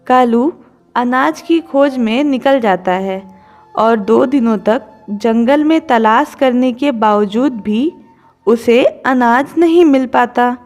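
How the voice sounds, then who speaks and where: A woman's voice: fairly high pitch, slow pace, a little mellow, soft background music. A woman narrating a scripted children's story as its storyteller.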